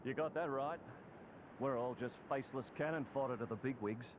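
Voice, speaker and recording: An actor playing a cynical, resigned rank-and-file soldier in a game, blunt and wry. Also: male voice, a little fast, lightly acted. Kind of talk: game cutscene dialogue. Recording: dull sound, loud outdoor rumble.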